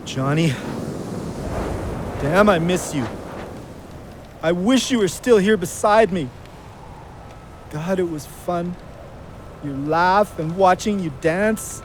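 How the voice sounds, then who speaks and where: A man's voice: sits high, takes it slowly, pauses often, a little hiss or hum. An actor playing a guilt-ridden, haunted drinking man in an audio drama, pleading in colloquial speech. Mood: grieving, rambling. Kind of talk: emotional audio drama acting.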